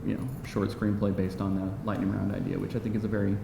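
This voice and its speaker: Lowish-voiced guy screenwriter, self-deprecating and jokey, stop-start talk, echoey noisy room. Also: flat delivery, creaky. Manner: self-deprecating, joking, halting. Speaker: screenwriter answering audience questions. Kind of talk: casual, unscripted Q&A talk. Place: live event room with audience.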